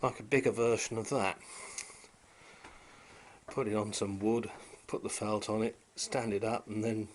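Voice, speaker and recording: A man's voice: on the low side, level tone, unhurried. A British man casually talking through a repair, unscripted, in a rambling, wryly understated way. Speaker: hobbyist repairer and presenter. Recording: noisy amateur camera sound.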